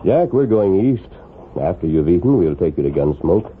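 An American actor playing a calm, commanding masked Old West hero with measured authority, in old radio western style. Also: male voice, sits low. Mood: calm, concerned. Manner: measured, authoritative, clipped questioning. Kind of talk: 1940s radio western acting. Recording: muffled, noisy vintage radio recording.

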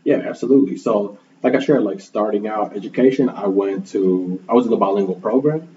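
A young man from Milwaukee, a native Spanish speaker, talks earnestly in a lowish, mellow voice. He reflects as he goes, with plenty of ums and little "right?" check-ins. The recording is a bit muffled, with a faint hum underneath. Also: brisk. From Milwaukee, Wisconsin, USA. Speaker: guest, school program manager. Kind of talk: conversational interview answers.

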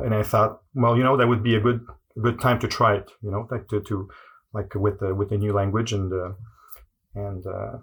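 Hesitant French-Canadian man, low voice. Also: vocal fry. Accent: Quebec French. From Montreal, Quebec. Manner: hesitant, many fillers and restarts. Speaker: guest. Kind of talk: casual interview answers.

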